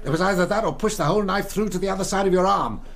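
An actor with an English accent playing a kindly, plain-spoken, slightly gruff gentleman doctor in a radio drama. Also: high-pitched, racing. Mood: grumbling.

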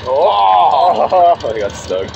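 A man's voice: on the high side, very animated, drawn-out words, vocal fry, far from the mic, background noise. A man riding an off-road vehicle on a wooded trail, making short exclamations while chatting. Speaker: off-road rider. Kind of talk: casual chat while riding.

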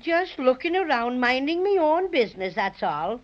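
A woman's voice: high-pitched, sing-song, moderate pace. A woman playing a small, mischievous, self-pitying male teddy bear with a plaintive folksy manner, in 1930s radio acting. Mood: sheepish, defensive. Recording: muffled lo-fi 1930s radio recording.

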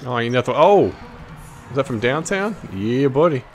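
An American man with a mid-range voice hosts casual gameplay commentary. He is excitable and playful, tossing out trash talk and dropping into muttered asides. Faint basketball game noise runs behind him. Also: mid-range voice, normal speed. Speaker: gaming video host. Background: basketball video game sounds.

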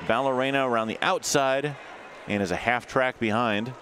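A man's voice: medium pitch, moderate pace, a bit bright, slight background noise. A man calling play-by-play for a live roller derby game, narrating the action. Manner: play-by-play, calls action, fillers like uh. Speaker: sports commentator.

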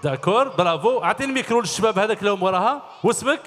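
A man's voice: high-pitched, animated, very fast. A middle-aged man with an Algerian Arabic accent, hosting a children's stage show, cheerfully quizzing the kids. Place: large concert hall stage. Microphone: handheld mic. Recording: long hall reverb.

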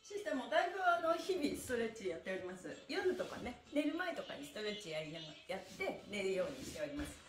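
A woman's voice: mid-range voice, sing-song, fast, few pauses. A middle-aged woman cheerfully leading a livestream stretching class, encouraging and chatting with viewers, often with laughter in her voice. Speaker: stretching instructor and livestream host. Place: small indoor room with wooden floor. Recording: echoey bare room.

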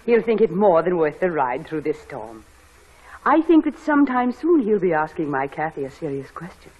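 A husky, rather low-voiced servant woman in a 1940s radio play, with a lively rise and fall. She is motherly, loyal and bluntly plain-spoken. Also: normal speed, muffled recording. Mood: probing. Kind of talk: 1940s radio drama acting.

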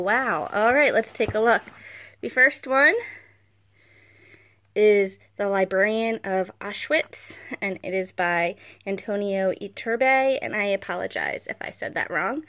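A woman's voice: medium pitch, a bit bright. A woman hosting a book vlog, giving casual unboxing commentary in an informal, chatty way. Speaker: book blogger and video host. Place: carpeted room at home.

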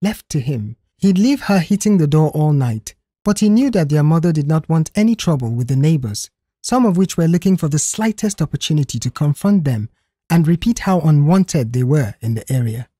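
A woman's voice: low-pitched, lively intonation, moderate pace, warm tone, slightly rough, no room sound, studio quality. A woman narrating a dramatized audio story, reading scripted prose.

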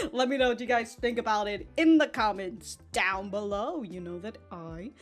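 A young woman casually reviewing an anime episode into a studio mic, rambling with restarts and quick laughs. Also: medium pitch, faint music behind. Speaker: anime reaction youtuber. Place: small room, home studio. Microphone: foam-covered studio mic in front.

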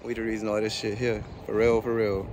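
Cocky young man vlogging over loud noise. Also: fairly low pitch, flat delivery, a little fast. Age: 20s. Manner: slangy, cocky, self-motivating. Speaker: vlogger, baseball player and coach. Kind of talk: casual hyped vlog chat. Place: inside a car and outdoors. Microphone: phone at arm's length. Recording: noisy car and outdoor phone recording.

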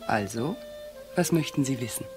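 A self-possessed, provocative widow in a noir radio play. Her delivery is mocking and lively, swinging in pitch, over clearly audible music. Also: moderate pace. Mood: guarded, mocking. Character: cool, scornful, provocative widow of a murdered man. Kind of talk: radio crime drama acting.

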